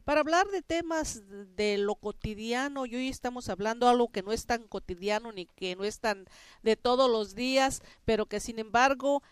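A woman's voice: a little high, normal speed, clear, resonant tone, no room sound. A woman with a Mexican accent hosting a radio programme in a chatty, colloquial, conversational way. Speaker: radio host.

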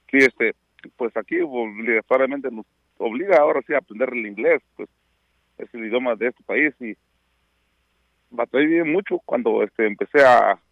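A man's voice: mid-range voice, vocal fry, dry recording. A middle-aged man from Oaxaca, Mexico, whose first language is Mixtec, speaking Spanish with a Mexican accent. He talks as a guest on a radio interview by phone, reminiscing and hesitating with many fillers. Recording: tinny dry phone line.

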